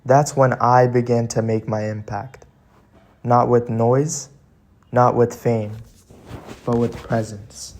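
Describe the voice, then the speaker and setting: Slow, mellow man delivering earnest monologue. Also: medium pitch, little pitch movement. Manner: earnest, deliberate, with dramatic pauses. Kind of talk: scripted motivational monologue narration.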